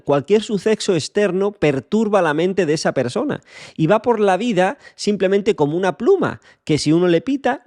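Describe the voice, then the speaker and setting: A young man from Extremadura, hosting a podcast as a blunt motivational monologue, swearing freely and slowing down to stress key words. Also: high-pitched, a little mellow, no room sound, studio quality. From Extremadura, Spain. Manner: blunt, swears freely, lecturing the listener directly. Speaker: podcast host.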